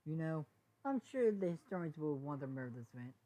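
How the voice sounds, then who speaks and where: A woman's voice: sits low, sing-song, fast talker, warm tone. A woman voicing a diplomatic male pony in a storybook reading, announcing and imploring to keep the peace. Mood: amused. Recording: dull, lo-fi sound.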